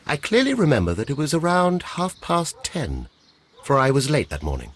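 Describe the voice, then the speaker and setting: Brusque, husky gentleman with lively intonation. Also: medium pitch, normal speed. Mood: matter-of-fact. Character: brusque, dismissive English office gentleman. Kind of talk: scripted game dialogue, giving testimony.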